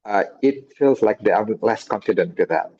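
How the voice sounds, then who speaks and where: Indonesian-accented host guy, dark mellow voice, dry lo-fi call audio. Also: lowish voice, lively intonation, breathy edge, dry recording. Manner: halting, hesitant English. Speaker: webinar host. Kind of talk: moderating a webinar. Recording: dull, lo-fi dry sound.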